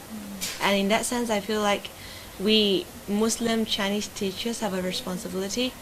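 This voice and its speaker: A woman teacher answering interview questions in a calm, explanatory way. Her is Cantonese, and her voice sits at a middle pitch. A faint background hiss runs under her speech.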